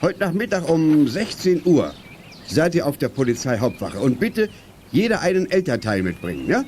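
An actor playing an authoritative, formal police officer in a children's radio play, brisk and firm. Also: male voice, fairly high pitch, big pitch swings, a little mellow, a little hiss or hum. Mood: official.

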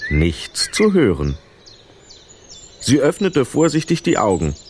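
A man narrating a scripted children's story in an expressive, vivid storytelling manner. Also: medium pitch, slow pace, background noise.

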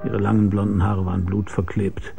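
An actor playing a weary, laconic, deadpan hard-boiled private detective who narrates his case in a radio crime drama. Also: lowish voice, normal speed, gravelly, soft background music. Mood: grim, deadpan.

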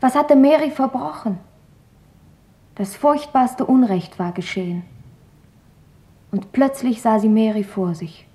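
A woman's voice: a little high, a little slow, long pauses, mellow. An actress playing a thoughtful, earnest teenage girl diarist, reflective and questioning, in a German radio drama. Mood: anguished, sorrowful. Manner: questioning, reflective reading of her own writing.

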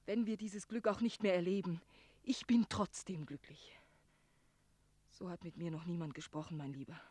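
An actress playing a tender, brave young maiden in a radio play, heartfelt and emotional. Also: female voice, fairly low pitch, steady tone, normal speed, warm tone, slightly rough, no room sound. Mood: tender, sorrowful. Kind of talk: German radio play acting.

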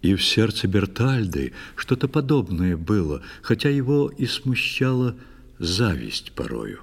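A man narrating a children's audio play in verse with expressive, measured, dramatic storytelling. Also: on the low side, gravelly, breathy edge.

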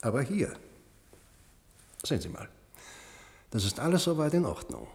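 An actor playing a fascinated, drily clinical doctor in a 1970s radio play, explaining deliberately and musing aloud. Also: male voice, medium pitch, very animated, gravelly, a little mellow. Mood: clinical, puzzled. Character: male doctor, clinical, fascinated, drily matter-of-fact about a body. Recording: long reverberant hall in radio play.

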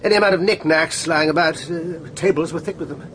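Blunt army major, acted by a man, higher-pitched. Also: steady tone, fast, fairly bright tone, close to an everyday voice. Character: brisk, blunt upper-class English army major. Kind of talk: radio drama acting. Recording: noisy vehicle ambience behind dialogue.

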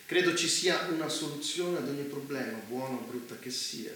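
A man's voice: medium pitch, moderate pace, pushed and bright, slight background noise, close to an everyday voice. A man playing a cynical, domineering ruler in a dystopian stage drama, speaking in a theatrical, mocking, philosophizing way. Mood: cold, certain. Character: cynical, domineering male ruler who sees killing as a solution. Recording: echoey distant theatre recording with music.

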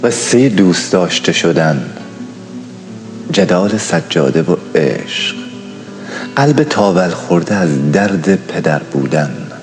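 A man's voice: low voice, sing-song, music in the background. A man giving a poetic radio drama introduction in solemn, dramatic short phrases. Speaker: narrator.

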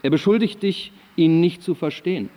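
A man plays an eloquent eighteenth-century philosopher with a dark, mellow voice. He recites in ornate, measured rhetoric with a wry edge, as in a literary radio play, and a long hall reverb follows his words. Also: fairly high pitch, close to an everyday voice. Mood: dryly mocking.

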